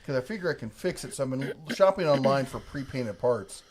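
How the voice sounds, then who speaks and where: A man's voice: mid-range voice, expressive, fairly quick. A man casually chatting on a livestream, reading viewer comments in a laid-back, rambling, jokey way. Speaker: livestream co-host.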